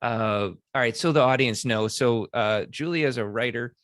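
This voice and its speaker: A man hosting a podcast, talking in a relaxed way with many fillers. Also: mid-range voice, normal speed, dry recording, studio quality. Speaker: podcast host. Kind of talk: casual podcast interview.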